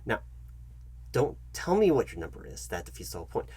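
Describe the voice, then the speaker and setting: A man with a fairly low, husky voice plays a job interviewer in a comedic roleplay. His pitch moves around in a very animated way. He talks directly to the listener, fussy and halting, often repeating his instructions. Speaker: ASMR channel host acting as job interviewer.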